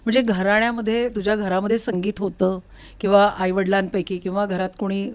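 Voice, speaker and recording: A woman hosting a conversational interview in a recording studio, attentive and quick to affirm her guest. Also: medium pitch, little pitch movement. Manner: attentive, frequent short affirmations. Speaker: interview host.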